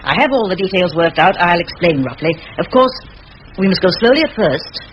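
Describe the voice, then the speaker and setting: An actress playing a determined, bossy middle-aged spinster schoolteacher in an old radio play, forthright and given to rhetoric. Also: female voice, on the low side, animated, a little fast, lo-fi sound, a little hiss or hum, lightly acted. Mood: commanding, determined.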